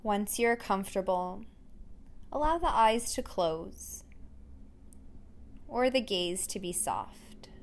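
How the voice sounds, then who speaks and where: Woman doing a guided meditation, real slow and gentle, normal pitch, leaves lots of space between lines. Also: lively intonation. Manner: gentle, unhurried, with long pauses. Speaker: yoga and meditation instructor.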